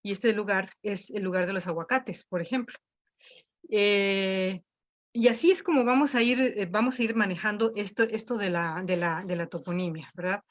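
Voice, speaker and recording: A woman's voice: mid-range voice, normal speed. A woman leading an online workshop from home, talking with many fillers and restarts. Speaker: workshop instructor.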